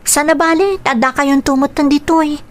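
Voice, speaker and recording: Woman acting protective grown daughter, young, high-pitched voice, Ilocano accent, radio drama. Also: steady tone, moderate pace, background noise, lightly acted. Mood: concerned. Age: young adult. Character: protective grown daughter, newly employed, concerned for mother.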